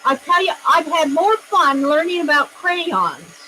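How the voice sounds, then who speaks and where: Loud, bright-voiced woman hosting an art stream. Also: sits high, sing-song, measured pace, a little hiss or hum, low-quality recording. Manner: loud projected voice; chatty and rambling, thinks aloud. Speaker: art livestream host. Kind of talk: casual livestream demo chat.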